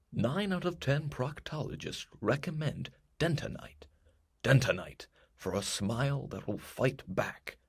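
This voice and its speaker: A man playing the portentous announcer of a 1950s-style space radio serial, with a gravelly, husky voice and dramatic delivery. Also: mid-range voice, exaggerated voice. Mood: salesmanlike.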